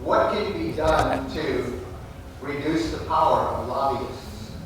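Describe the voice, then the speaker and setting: A man in the audience asking a question in a loud, brisk voice. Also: mid-range voice, slight background noise. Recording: echoey hall from far off.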